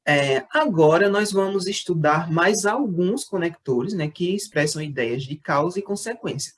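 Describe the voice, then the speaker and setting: A male school teacher giving a recorded lesson to sixth graders, explaining patiently, checking in often with the class and slowing down to read out his example sentences. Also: high voice, expressive.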